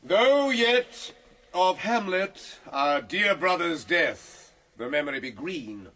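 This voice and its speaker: A man's voice: a little high, big pitch swings, slow, bright, forceful tone, heavily acted. An actor playing a stately, calculating middle-aged king giving a formal oratorical address in a Shakespearean radio drama. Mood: stately, controlled.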